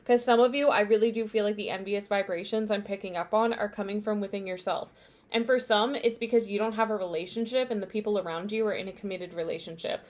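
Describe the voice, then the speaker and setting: Young woman tarot reader, casual and rambling, mid-pitched, relaxed delivery. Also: fast talker. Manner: chatty, meandering, many fillers and asides. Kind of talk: casual spiritual card reading.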